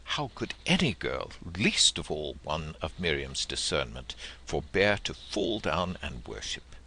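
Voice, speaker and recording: A man reading literary prose and verse aloud as an audiobook narrator, with theatrical, deliberate phrasing. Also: rumbling low, very animated, creaky, pushed and bright, a little hiss or hum, rough recording.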